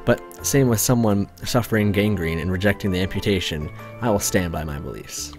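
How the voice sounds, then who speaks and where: A man's voice: deep voice, a little fast, faint music behind. A Canadian man reading a visual novel aloud, joking and commenting casually. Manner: casual, joking, self-interrupting. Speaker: let's play narrator.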